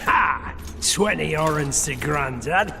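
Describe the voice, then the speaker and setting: Slow, gravelly, quarrelsome dungeon guard. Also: male voice, on the high side, lively intonation, bright, forceful tone, a little hiss or hum, heavily acted. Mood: gloating. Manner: coarse, belligerent, drawn-out delivery. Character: foul-mouthed, quarrelsome male dungeon guard, gambler. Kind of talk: video game cutscene acting. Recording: echoing dungeon reverb with music behind.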